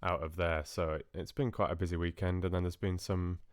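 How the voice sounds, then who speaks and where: A man's voice: sits low, flat delivery, brisk, no echo, studio quality. A man hosting a livestream, chatting casually with viewers in a friendly, hesitant way with trailing asides. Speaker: livestream host. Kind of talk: casual chat with live viewers.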